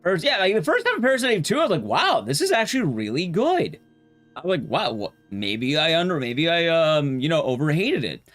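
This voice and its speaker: Guy streaming a game, super animated pitch swings, rambly casual chat, blunt, faint music behind him. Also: a little fast. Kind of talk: casual live-stream chat while gaming.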